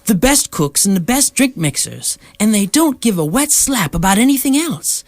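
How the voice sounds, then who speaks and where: A woman's voice: mid-range voice, a little mellow, no echo, natural voice. A woman playing a breezy, unflappable galactic hitchhiker who explains things matter-of-factly in a radio comedy. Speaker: radio drama actor.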